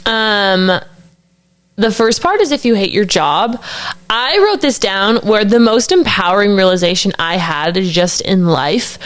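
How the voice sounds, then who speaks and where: A woman's voice: medium pitch, expressive, measured pace. Earnest woman in her thirties. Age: 30s. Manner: reflective, earnest, many "like" fillers. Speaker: podcast co-host. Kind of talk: casual chat.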